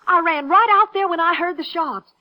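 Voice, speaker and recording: A woman's voice: very high voice, normal speed, muffled recording. An actress playing a nervous, defensive young small-town American woman in a 1950s radio drama, flustered and insistent. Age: young adult. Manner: flustered, hesitant, then insistent. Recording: vintage 1950s radio broadcast recording.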